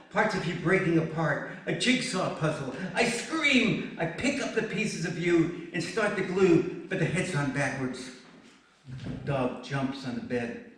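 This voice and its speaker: A man reading poetry at a live event, measured, with dramatic pauses. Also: medium pitch. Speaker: poet presenting work. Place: indoor event space, recorded from a distance. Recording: very echoey room, distant mic, faint noise.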